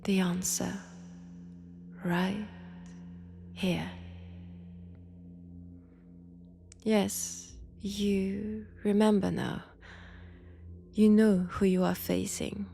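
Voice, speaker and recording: A woman with a French accent playing a menacing, seductive female vampire in an intimate roleplay audio drama, softly taunting and often whispering. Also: mid-range voice, flat delivery, very slow, vocal fry, long pauses, a little hiss or hum. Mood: gloating, menacing.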